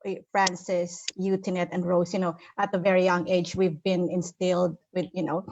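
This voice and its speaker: A woman speaking as a panel guest, halting with many repeats and fillers. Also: on the low side, flat delivery, a little mellow, muffled recording, a little hiss or hum. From Philippines. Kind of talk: casual panel chat.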